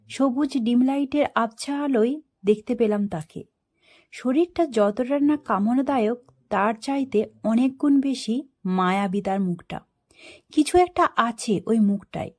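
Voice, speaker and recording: A woman storyteller narrating a first-person story in an expressive, dramatic way. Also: fairly high pitch.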